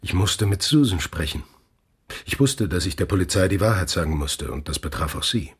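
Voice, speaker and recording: An actor playing a troubled, remorseful middle-aged father in a radio drama, speaking in a gravelly, breathy hush. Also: low-pitched, lively intonation, moderate pace, heavily acted.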